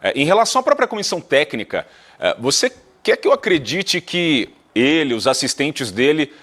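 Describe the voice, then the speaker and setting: A Brazilian man, a radio journalist guest, arguing a point in a measured way with hesitant fillers. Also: fairly high pitch, sing-song, a bit bright. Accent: Brazilian Portuguese. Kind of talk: sports debate commentary.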